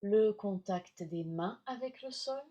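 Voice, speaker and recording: A woman teaching yoga nidra, guiding relaxation in short, soothing, measured phrases with long pauses. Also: medium pitch, expressive, warm tone, highs cut off. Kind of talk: guided relaxation instruction.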